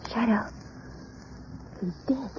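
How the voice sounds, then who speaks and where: A woman's voice: on the high side, slow pace. An actress playing an anxious, loyal young woman in an old radio crime drama, speaking with breathless urgency. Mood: concerned. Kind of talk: 1930s radio drama acting. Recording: muffled, hissy old radio recording.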